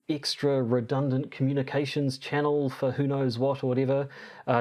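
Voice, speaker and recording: A man co-hosting a podcast, explaining from notes in a hesitant, halting way as he searches for words. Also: medium pitch, a little mellow. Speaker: podcast co-host.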